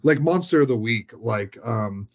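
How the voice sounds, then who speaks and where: A man's voice: mid-range voice, animated, a little slow. A man chatting casually as he recounts tabletop game sessions, rambling and peppering his talk with "like". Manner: rambling, frequent "like" as filler. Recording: dull, lo-fi sound.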